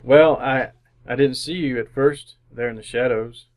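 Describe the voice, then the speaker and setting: An actor playing an awkward, lonely city bachelor in an old-time radio drama, halting and bemused as he talks and narrates. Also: mid-range voice, close to an everyday voice. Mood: flustered.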